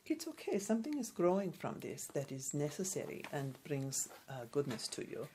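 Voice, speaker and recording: A woman playing a gentle, unhurried female card reader in a soft-spoken ASMR role play, halting and reassuring as she goes. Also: deep voice, sing-song, slight background noise. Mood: calm, encouraging. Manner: halting, hesitant, reassuring, short simple phrases.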